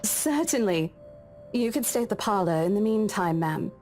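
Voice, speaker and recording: A woman voicing a polite, brisk female estate agent in a visual novel game, professional and in charge. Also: mid-range voice, lively intonation, a little mellow, faint music behind, lightly acted. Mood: courteous, professional.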